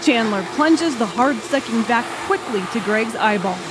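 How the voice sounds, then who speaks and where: A woman reading scripted narration for a dark thriller audiobook. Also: fairly high pitch, animated, moderate pace, heavy background noise.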